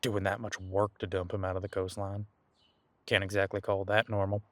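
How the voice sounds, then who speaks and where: An easygoing ancient demon detective played by a man talks in a low voice, close and dry. He muses in a folksy, laid-back way, as if chatting with a companion in an audio roleplay. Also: level tone, moderate pace, fairly bright tone, dry recording. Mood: relaxed, musing. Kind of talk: conversational one-sided audio roleplay.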